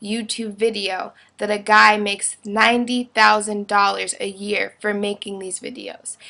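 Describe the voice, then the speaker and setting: A woman's voice: a little high, a bit bright. A young woman giving a casual, opinionated video monologue in a blunt, informal way, peppering her sentences with "like".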